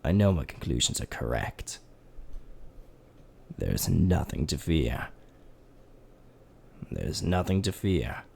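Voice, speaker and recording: A man voicing a calm, methodical male skeleton scientist who talks himself through a risky plan in a measured, self-reassuring way. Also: extremely low pitch, gravelly, a little hiss or hum, heavily acted. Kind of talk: fan dub voice acting.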